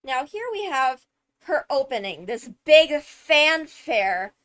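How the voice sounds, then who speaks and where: A woman streamer giving casual, emphatic analysis of a game video, halting as she thinks through points. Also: high voice, sing-song, a bit bright, soft background music. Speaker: streamer, host.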